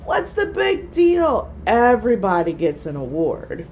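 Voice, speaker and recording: A woman's voice: mid-range voice, huge pitch swings, a little slow, a little mellow, a little hiss or hum. A woman voicing a cheeky, brash schoolboy in a storybook, with a taunting, offhand manner. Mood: scornful.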